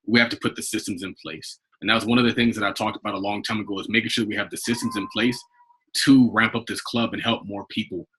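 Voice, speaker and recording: An American man with a husky voice leading an informal investment club call, talking in a rambling, conversational way. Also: fairly low pitch, steady tone, fast, pushed and bright, a little hiss or hum, rough recording. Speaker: investment club leader hosting a conference call. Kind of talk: informal group call talk, rambling updates. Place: online group video call.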